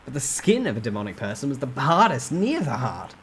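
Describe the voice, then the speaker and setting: A man's voice: medium pitch, very animated, fairly quick, a bit bright, slight background noise. A man giving a dramatic first-person storytime reading of a novel. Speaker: narrator reading a novel aloud.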